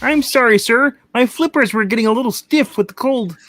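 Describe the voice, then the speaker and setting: A meek little clerk in a radio-play reading. The voice is squeaky and pitched very high, timid and eager to please, always deferential. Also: male voice, a little fast, fairly bright tone.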